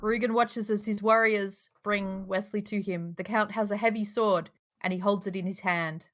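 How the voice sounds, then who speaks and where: Woman narrating a script, medium pitch. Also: steady tone, moderate pace, a bit bright. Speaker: narrator of stage directions. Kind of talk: scripted narration at a live table read.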